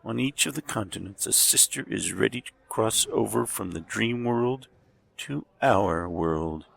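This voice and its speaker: A smug, villainous doctor devoted to a demon in an audio drama. His voice is low and heavily creaky, with a husky rasp. He speaks slowly and pompously, with menace in every line. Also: exaggerated voice. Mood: gloating, fanatical. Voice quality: gravelly, husky.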